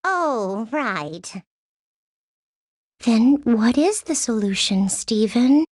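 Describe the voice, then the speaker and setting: A woman's voice: fairly high pitch, sing-song, drawn-out words, a little mellow, dry recording, studio quality, heavily acted. A woman playing a formal, fussy, theatrical female alien gem subordinate in a cartoon game, eager and militant. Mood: deflated. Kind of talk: animated game cut-scene acting.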